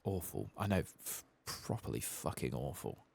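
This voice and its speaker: A soft-spoken man giving rambling ASMR commentary as he plays a game, sometimes dropping into a whisper. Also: extremely low pitch, big pitch swings, normal speed, vocal fry, slightly rough. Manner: rambling, musing to himself; often drops into whispers. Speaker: host of a gaming playthrough channel.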